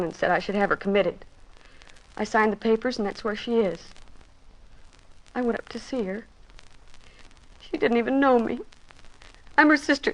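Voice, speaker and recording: An actress playing an anguished, bitter sister in a 1950s radio drama, emotional and accusing. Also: female voice, on the high side, close to an everyday voice, poor audio.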